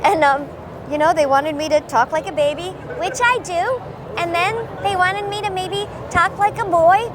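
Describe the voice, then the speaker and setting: A woman voice actor chatting in an interview, telling stories in a lively way with playful voice bits. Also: very high-pitched, moderate pace, crowd noise. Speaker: voice actor guest. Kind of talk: casual interview chat. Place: busy convention. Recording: noisy convention floor.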